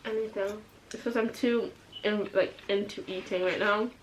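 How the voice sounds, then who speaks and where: A woman's voice: medium pitch, fairly even intonation, moderate pace, a little hiss or hum, low-quality recording. A young woman, chatting casually while eating and chiming in with short, laid-back remarks. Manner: laid-back, brief interjections. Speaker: vlogger, younger sister.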